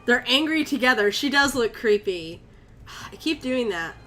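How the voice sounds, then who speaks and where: A woman's voice: on the high side, animated, unhurried, bright, forceful tone, faint music behind. A young woman from Connecticut streaming a horror game, chatting with viewers, easily spooked and swearing. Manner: jumpy, easily spooked, swears and chats with chat. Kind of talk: live gameplay commentary.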